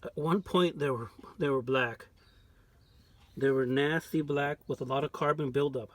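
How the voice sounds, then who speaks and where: A man giving an unscripted show-and-tell of his restoration work in a home workshop, relaxed and full of fillers and restarts. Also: medium pitch, moderate pace, no echo. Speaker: vintage radio restorer.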